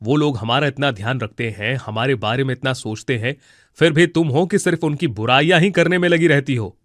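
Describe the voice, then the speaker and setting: A man's voice: mid-range voice, brisk, a bit bright, no echo, clean studio sound, lightly acted. An actor playing a stern, indignant elderly husband and father in a cartoon moral story, scolding and reproachful.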